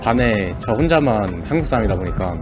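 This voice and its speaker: A man's voice: lowish voice, animated, rapid-fire, over music. A young man answering street interview questions in long running sentences.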